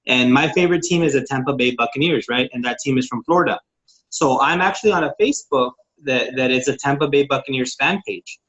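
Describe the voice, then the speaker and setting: A man from Texas explains his methods on a conference call, eager and friendly, with plenty of "uh"s and restarts. His voice sits at an ordinary middle pitch. The call audio is dull and muffled. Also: fairly quick, a bit bright. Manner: eager, informal, with many fillers and restarts. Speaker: network marketing consultant. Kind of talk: casual teaching on a group call.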